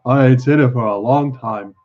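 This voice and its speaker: A man's voice: mid-range voice, normal speed, lo-fi sound, natural voice. A man voicing a big, simple, childlike man in a storybook reading, with rustic, ungrammatical and earnest speech. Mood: earnest, pleased.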